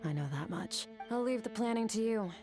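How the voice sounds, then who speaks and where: A woman's voice: fairly high pitch, lively intonation, fairly quick, natural voice. An actress playing a stoic, battle-hardened warrior woman in a video game, speaking in a composed, blunt and matter-of-fact way. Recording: background music under the voice.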